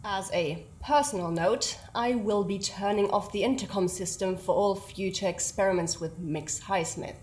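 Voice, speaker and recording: An actress playing a stern, clinical scientist in an audio drama, formal, measured and dryly exasperated. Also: female voice, mid-range voice, darkish tone, a little hiss or hum, natural voice. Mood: curt, exasperated.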